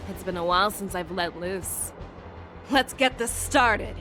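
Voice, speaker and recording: A woman's voice: medium pitch, unhurried, over music, natural voice. A woman playing a bold, authoritative general in an anime-style video game. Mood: determined. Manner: authoritative, resolute. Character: bold commanding woman general rallying her knights.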